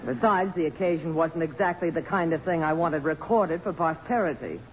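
An actress playing a poised, sentimental but strong-willed middle-aged congresswoman, with a crisp, commanding and emotional delivery in 1950s radio drama style. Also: low voice, normal speed, close to an everyday voice. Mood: guarded, firm. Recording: dull, noisy old radio broadcast.

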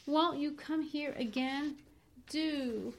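Woman acting dying young woman, raised pitch, bitter, passionate, pleading, storybook drama. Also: sing-song, moderate pace, a bit bright. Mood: tender pleading. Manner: pleading, emphatic. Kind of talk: dramatic novel reading.

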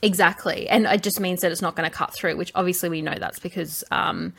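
Blunt Australian woman, low-pitched. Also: steady tone, fairly quick, creaky, a bit bright, breathy edge. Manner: blunt, swears, laughs easily. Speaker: podcast co-host. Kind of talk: casual chat.

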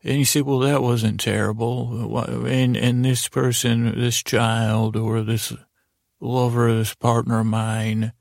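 A man hosting a bedtime story podcast in a lulling, meandering way, halting and stammering as he wanders off on tangents. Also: mid-range voice, level tone, vocal fry, a little mellow, dry recording, clean studio sound. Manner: lulling, soothing delivery. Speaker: podcast host.

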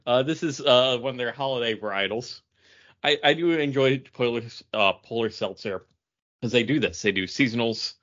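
A man's voice: mid-range voice, moderate pace, a bit bright, dull audio. A man co-hosting a podcast in casual chat, rambling through tentative asides. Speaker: podcast co-host.

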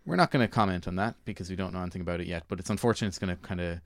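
A man's voice: low voice, very fast, no echo. A man with an Irish accent hosting a film discussion in a casual, conversational, hedging way. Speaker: podcast host.

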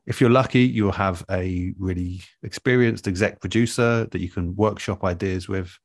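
A man in a relaxed interview, explaining things in a thoughtful, measured and earnest way as an experienced producer. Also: low voice, no echo, clean studio sound. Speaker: interview guest, audio drama producer. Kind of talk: relaxed advice-giving conversation.

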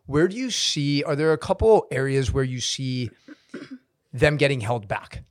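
A man hosts a casual podcast interview, asking questions in an easygoing, slangy manner. His voice sits at a mid pitch and is recorded dry and close, with no room sound. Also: animated, measured pace, no room sound. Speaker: podcast host.

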